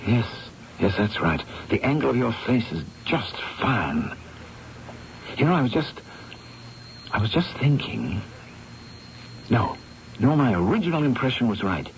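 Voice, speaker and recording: An actor playing a troubled, obsessive painter in a radio mystery drama, speaking with theatrical intensity and hesitant repetitions. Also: male voice, medium pitch, sing-song, measured pace, pauses often, a little hiss or hum. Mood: awed, absorbed. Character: troubled, obsessive male painter, fearful and awestruck. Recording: muffled vintage radio broadcast, music underneath.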